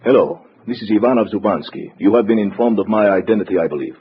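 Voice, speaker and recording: An actor playing a haughty, secretive party courier in a 1950s radio drama, speaking formally and imperiously with a deliberate delivery. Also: male voice, on the low side, close to an everyday voice. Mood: formal, commanding. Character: haughty, secretive male Eastern European courier and veteran agent. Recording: muffled, hissy vintage radio recording.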